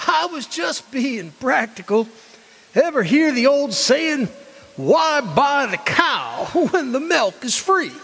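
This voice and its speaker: An actor playing a cantankerous, freeloading old man with a raspy voice in a radio play. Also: very high-pitched, very animated, takes it slowly, a bit bright, exaggerated voice. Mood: wry, conceding. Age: older. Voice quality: raspy, creaky. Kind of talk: stage radio drama acting. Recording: reverberant hall, live stage performance.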